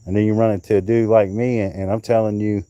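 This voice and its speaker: A man with a low, dark, relaxed voice hosts a live stream in a loose, rambling way. He talks casually, restarts his sentences and lets thoughts trail off as he goes. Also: quick pace, no room sound, muffled recording. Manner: rambling, chatty, drifts off mid-sentence; handling and showing coral pieces.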